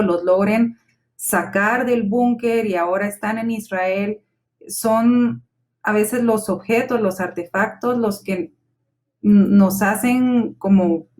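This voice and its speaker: Woman panel guest, reflective and measured, mid-pitched. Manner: reflective, with repeated restarts. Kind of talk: talk-show discussion.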